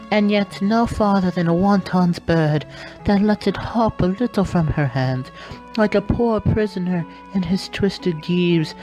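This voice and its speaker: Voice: darkish tone, natural voice, rough recording. A performer voicing a lovestruck, melodramatic young woman in a comic Shakespeare dub, gushing theatrically. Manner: theatrical, gushing, swooping into shrill calls.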